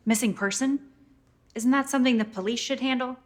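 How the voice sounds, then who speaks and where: A woman's voice: fairly high pitch, a little fast, lightly acted. A woman playing a curious, wry newspaper reporter with a teasing manner.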